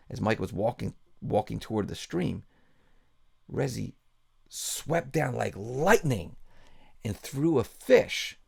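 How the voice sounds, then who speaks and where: A man's voice: on the low side, vocal fry. A man, a pastor, telling an informal bedtime story in a folksy, unscripted way, often repeating phrases.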